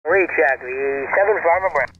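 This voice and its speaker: A man's voice: a little high, normal speed, slightly rough. An older man calling in to a ham radio net. He is chatty and friendly and calls the host "my friend". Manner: chatty and warm, says "my friend". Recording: tinny radio with static.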